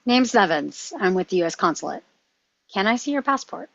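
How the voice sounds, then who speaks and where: Cocky junior male agent, played by a woman: offhand authority, lowish and very animated, dry sound. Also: brisk, creaky, no echo, dull audio. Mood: officious. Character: young male American junior CIA field officer, cocky. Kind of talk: table read of a film script.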